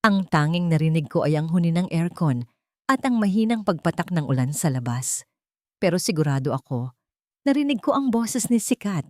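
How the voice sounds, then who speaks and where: A woman's voice: lowish voice, expressive, moderate pace, darkish tone, no echo, studio quality. A woman narrating a scripted first-person story in a dramatic, suspenseful and emotive way.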